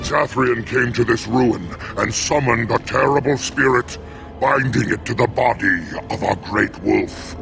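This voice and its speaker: A slow, gravelly werewolf, bitter and grave. The voice growls low as it recounts old wrongs in dramatic fantasy game style. Music plays clearly behind it. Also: male voice.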